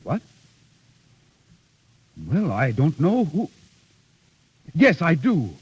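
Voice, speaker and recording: Exacting drama tutor: slightly high voice, precise diction, old radio-era drama, dull sound. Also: male voice, very animated, very slow, husky, heavily acted. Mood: urgent. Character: devoted, exacting male voice and acting teacher. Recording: dull, muffled vintage recording.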